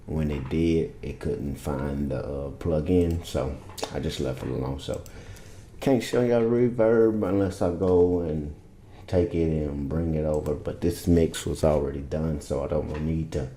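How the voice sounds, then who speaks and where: Very deep-voiced laid-back male producer. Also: steady tone, a little slow, mellow, slight background noise. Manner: laid-back, rambling, loose and informal. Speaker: music producer teaching vocal mixing. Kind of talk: casual screen-share tutorial. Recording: roomy echo.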